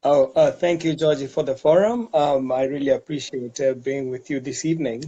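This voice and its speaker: A man from Kenya, a businessman guest answering interview questions in a calm, measured way with some hesitations. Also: medium pitch, husky, highs cut off.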